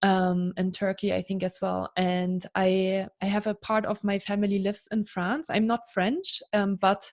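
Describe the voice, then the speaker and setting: A woman's voice: mid-range voice, little pitch movement, measured pace, few pauses. A German woman in her thirties, a guest in a casual interview, talking in a chatty way with lots of "kind of" and "you know".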